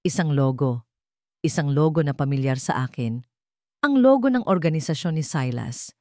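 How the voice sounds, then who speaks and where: Filipino lady doing dramatic story narration, kinda low voice, very dry sound. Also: lively intonation, moderate pace, dark tone, dry recording.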